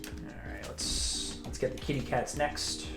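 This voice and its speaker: A young man chatting casually with a co-host while drawing, relaxed and laughing through his stories. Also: a little slow. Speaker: streaming artist. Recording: faint music behind.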